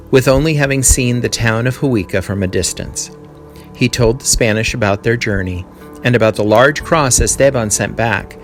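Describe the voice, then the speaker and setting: A man reading a history text aloud as a teacher, in a deliberate storytelling delivery with short explanations. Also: medium pitch.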